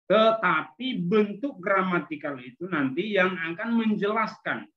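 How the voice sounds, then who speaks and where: A man with an Indonesian accent teaching a language class, explaining step by step and often checking that listeners follow. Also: on the high side. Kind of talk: online class lecture with questions. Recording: thin, phone-like online call audio.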